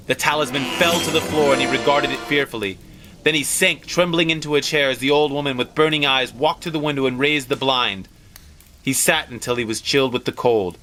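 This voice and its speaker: A male narrator reads a story aloud with dramatic delivery. His voice is mid-pitched, bright and forceful, over a slightly noisy recording.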